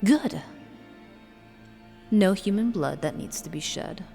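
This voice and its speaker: A woman playing a cold, imperious cult leader in an audio drama, speaking in a measured, solemn, menacing way. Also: medium pitch, animated, vocal fry, mellow. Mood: fierce, commanding. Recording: long hall reverb. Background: eerie horror music.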